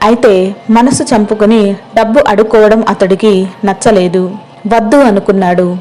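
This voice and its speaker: Female narrator for moral tales, mid-pitched, scripted storytelling. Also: steady tone, normal speed, warm tone, rough recording.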